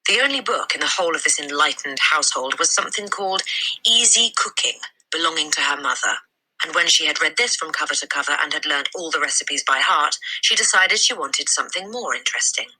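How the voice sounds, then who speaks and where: Bright, husky, low-pitched woman reading aloud. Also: animated, a little fast, rough recording. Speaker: storybook reader. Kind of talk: expressive read-aloud narration of a children's novel.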